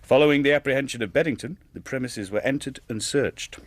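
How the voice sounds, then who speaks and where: An actor playing a brisk, formal Victorian English police inspector in a radio drama, speaking deliberately as if giving an official report. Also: male voice, mid-range voice, dry recording, natural voice. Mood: official, grave.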